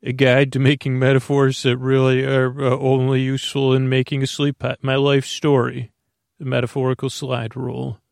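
A man with a creaky voice hosting a bedtime podcast in a lulling, meandering, halting monologue full of stammered digressions. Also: medium pitch, monotone, no echo, clean studio sound. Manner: lulling, soothing. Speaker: host of a bedtime sleep podcast.

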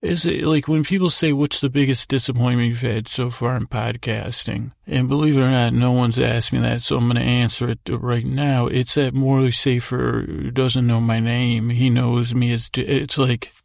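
Male bedtime podcast host: heavy vocal fry, narrow pitch movement, halting and digressive delivery. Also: flat delivery, no room sound. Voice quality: heavily creaky, gravelly. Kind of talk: rambling bedtime podcast monologue.

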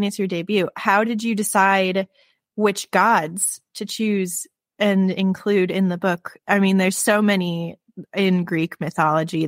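A woman hosting a book podcast, bubbly and effusive, with lots of "like" and quick restarts. Her pitch sits in the middle range. The sound is close and dry with no room. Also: dry recording. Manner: gushing and enthusiastic, heavy "like" fillers. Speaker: podcast host. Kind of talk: podcast interview.

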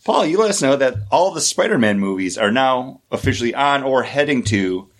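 A man co-hosting a casual podcast, chatting about movies in a joking, animated way. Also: mid-range voice, brisk. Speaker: podcast co-host.

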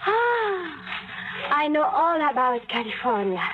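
A woman's voice: sits high, sing-song, heavily acted. An earnest, pleading young woman. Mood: eager. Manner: pleading, earnest, slightly halting English. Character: young Peruvian woman, naive, pleading, eager to emigrate. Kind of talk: 1950s radio drama acting. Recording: muffled old radio broadcast with faint music.

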